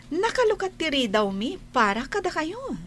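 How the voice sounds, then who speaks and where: Carla's type of young Ilocano bride-to-be in a radio drama. The voice is high and very animated, swooping up and down. The delivery is sugary, theatrical and gushing. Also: female voice, moderate pace, slight background noise. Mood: sweetly smug.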